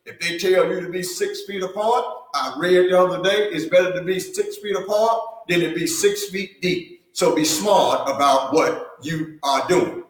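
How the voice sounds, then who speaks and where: A man preaching a sermon, building emphasis through repeated phrases and rising, forceful lines. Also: sits high, normal speed, hardly any pauses. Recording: echoey room from far off.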